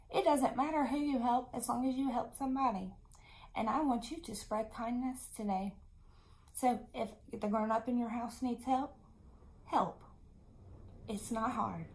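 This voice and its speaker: A woman in her thirties giving young children a lesson, speaking as an encouraging, deliberate teacher. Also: a little high, darkish tone, poor audio. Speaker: elementary school teacher. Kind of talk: children's video mini lesson.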